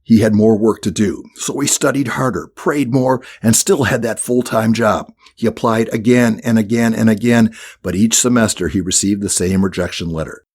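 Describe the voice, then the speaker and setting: A man's voice: lowish voice, normal speed, hardly any pauses, darkish tone, husky. A man reading a scripted children's audiobook as the narrator.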